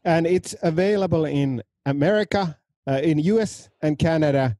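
A man's voice: a little high, moderate pace, mellow. A Finnish man hosting a casual podcast over a video call, friendly and upbeat. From Finland. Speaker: podcast host. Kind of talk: casual chat.

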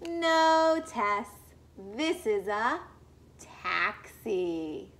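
A patient storybook boy, read by a woman, speaking slowly and emphatically. The pitch swings widely from word to word. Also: big pitch swings, fairly bright tone, a little hiss or hum, heavily acted. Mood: firm, patient. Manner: emphatic, deliberate. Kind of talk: children's picture book read-aloud.

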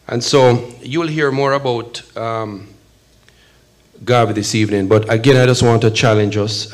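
A middle-aged man with a Jamaican accent giving a measured, emphatic public speech at a podium microphone in an event hall. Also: mid-range voice. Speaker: consul general, guest speaker at podium. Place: event hall, at a podium before a seated audience.

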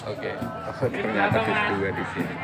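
A man's voice: fairly low pitch, moderate pace. A man with a Javanese Indonesian accent chatting casually as he vlogs on a phone. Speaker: vlogger on a school study tour. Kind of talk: casual vlog chat. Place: busy outdoor beachside restaurant at night. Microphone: handheld phone. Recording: loud crowd and music behind.

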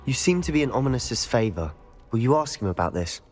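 A man playing a determined, confident teenage student drawn to dark magic, in a video game cutscene. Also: mid-range voice, big pitch swings, brisk, big-room sound, soft background music. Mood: grave. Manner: confident, conspiratorial.

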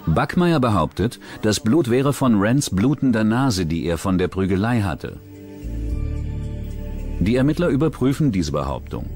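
A man narrating a true-crime documentary in a measured, serious scripted delivery. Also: fairly low pitch, big pitch swings, a little mellow, faint music behind.

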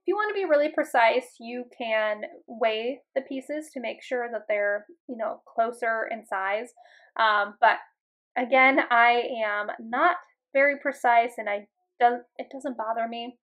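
A woman in her thirties with a somewhat high voice, talking through her home cooking in a relaxed, chatty way. Her speech is loose and unhurried, often broken by ums and uhs. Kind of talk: casual voice-over narration. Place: home kitchen voice-over.

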